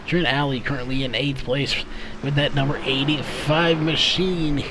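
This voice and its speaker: A man calling qualifying times as a race commentator, his voice going hoarse. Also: medium pitch, normal speed, a crowd in the background. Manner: steady, methodical calling of lap times. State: losing his voice. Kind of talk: play-by-play commentary.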